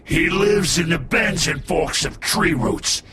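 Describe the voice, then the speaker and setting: A man's voice: fairly high pitch, slow, creaky, sharp tone, a little hiss or hum, exaggerated voice. An actor playing a brash, foul-mouthed male thug who sneers and taunts in a video game. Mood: pained, defiant. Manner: taunting, swearing, jeering.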